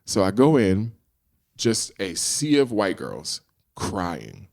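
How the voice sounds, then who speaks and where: A middle-aged man with a low voice, a podcast guest who tells his stories animatedly and acts out the dialogue. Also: wildly swooping pitch, slow pace, gravelly, a little mellow. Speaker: guest, screenwriter and actor. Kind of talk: casual storytelling in a podcast interview.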